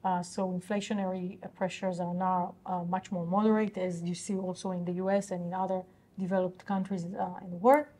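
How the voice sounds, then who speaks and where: A woman answering investor questions on an earnings call in a halting, businesslike, explanatory way. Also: fairly low pitch, little pitch movement, warm tone.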